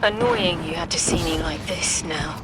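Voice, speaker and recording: An actress playing a wry, wistful woman with a halting, understated delivery in a video game cutscene. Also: low-pitched, natural voice. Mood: wry, awkward. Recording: loud music behind the voice.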